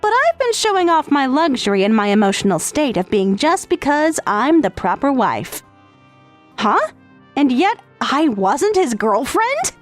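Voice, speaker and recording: Woman as a high, smug anime childhood-friend schoolgirl. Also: big pitch swings, a little slow, soft background music. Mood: shocked. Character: possessive, self-assured teenage childhood-friend schoolgirl. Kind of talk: anime-style dub acting with narrated monologue.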